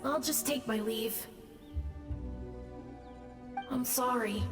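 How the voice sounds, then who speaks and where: A woman voicing a gentle, resigned young ghost in an anime-style game, soft-spoken and unhurried, with long reverb. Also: a little high, over music. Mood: resigned, grateful.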